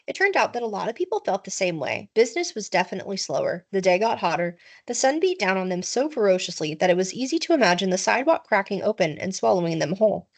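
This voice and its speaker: Animated woman reading a children's story. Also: medium pitch, quick pace, creaky, few pauses. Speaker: teacher reading aloud. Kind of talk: expressive children's storybook narration.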